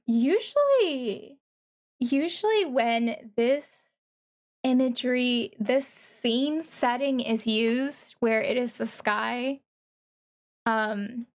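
Casting: female reaction streamer, highish voice, unhurried halting delivery, casual analysis, faint music behind. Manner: halting, thinking aloud, interjecting. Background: music from the watched video.

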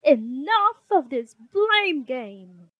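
A woman voicing an angry, exasperated storybook mother bear, shouting and scolding forcefully. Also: high-pitched, very animated, very slow, no room sound, voice pushed up, heavily acted. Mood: furious.

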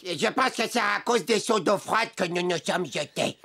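A performer voicing a zany toy circus clown in a French children's cartoon, exaggerated, drawn-out and theatrical, with music behind. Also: mid-range voice, creaky, bright, forceful tone. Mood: sorry.

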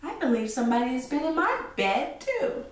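Woman as high-voiced, concerned mama bear. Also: very animated, fairly quick. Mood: mildly troubled. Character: concerned, agreeable mother bear. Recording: roomy echo, distant mic, faint noise.

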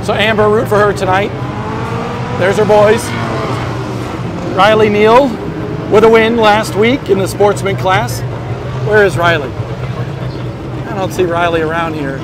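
A man's voice: high-pitched, fairly even intonation, a little slow, long pauses. A man, the host behind the camera, chatting upbeat as he walks through an outdoor racetrack pit area. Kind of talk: walk-around pit tour. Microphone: camera mic. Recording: loud outdoor background noise. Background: loud pit ambience.

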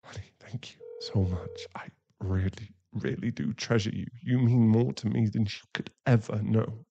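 A man acting a remorseful, tender werewolf boyfriend in an intimate ASMR roleplay, halting and emotional, often breathy. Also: on the low side, steady tone, dark tone, dull audio. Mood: tender, grateful. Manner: halting, emotional, pleading, with sighs and breathy drops into whispers.

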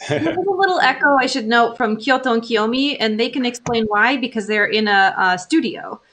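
A woman's voice: a little high, normal speed, fairly bright tone, slight background noise. A woman hosting a live video call, upbeat and laughing between phrases as she hands over to her guests. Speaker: host. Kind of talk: casual live-stream hosting.

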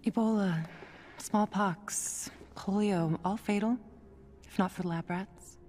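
A woman playing a smug, coolly calculating villain scientist in a video game, measured and condescending. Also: medium pitch, steady tone, darkish tone, lightly acted. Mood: mocking. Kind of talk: video game cutscene acting.

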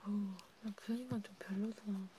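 Whispering woman, soft and mellow ASMR. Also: mid-range voice, fairly even intonation, normal speed. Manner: soft whispering, intimate, chatty. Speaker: ASMR creator. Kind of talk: whispered ASMR role play. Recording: clear background noise with clicks.

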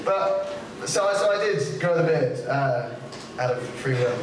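A man's voice: mid-range voice, expressive, unhurried, heavy background noise. A young man with a light, boyish voice runs a rambling, self-mocking stand-up set. He is picked up from across an echoey small club, with the audience's laughter audible behind him. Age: young adult. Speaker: stand-up comedian. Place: small comedy club before a seated audience. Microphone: handheld stage mic. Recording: echoey club recording from a distant camera.

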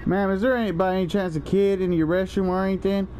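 A young man with a slightly high voice rambles through a prank phone call in casual slang. He stammers and swears as he goes, with faint noise behind him. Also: steady tone, fast. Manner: rambling, foul-mouthed, stammering. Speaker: prank caller and video host.

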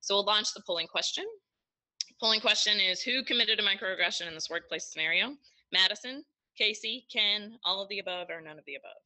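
A woman presenting a webinar lesson, explaining terms in a measured way. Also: mid-range voice, pushed and bright, rough recording. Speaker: lecturer.

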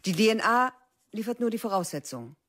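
A woman's voice: medium pitch, lively intonation, fast, fairly bright tone. An actress playing a stern middle-aged female biology teacher who lectures curtly and cuts her students down. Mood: cold. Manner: curt, cutting, lecturing. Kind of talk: radio drama acting.

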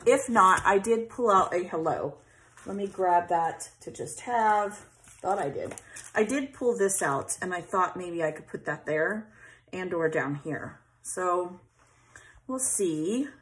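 A woman hosting a casual scrapbooking video, chatting and thinking aloud as she arranges pieces at her craft table. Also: mid-range voice. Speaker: scrapbooking channel host. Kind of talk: casual craft tutorial chat. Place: craft table at home, overhead camera on hands.

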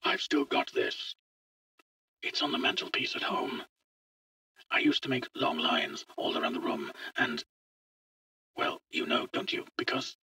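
A man playing an eager, bewildered middle-aged visitor from the future, speaking in a hushed, halting way in a story. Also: mid-range voice, wildly swooping pitch, slightly rough, dry recording, recorded from a distance, voice pushed up, exaggerated voice. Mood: wistful. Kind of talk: audio short story dialogue.

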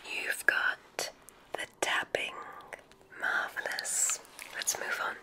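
Lady doing a kindly witch examiner for magic school, all whispered, really slow, asmr roleplay. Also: pushed and bright. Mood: pleased, encouraging. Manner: slow, deliberate, encouraging, theatrical phrasing. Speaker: ASMR performer.

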